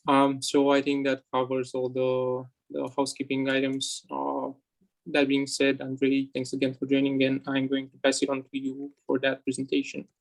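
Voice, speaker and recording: A man's voice: mid-range voice, monotone, normal speed, vocal fry, rough recording. A man moderating a webinar over a video call, hesitant and polite as he runs through welcome and housekeeping.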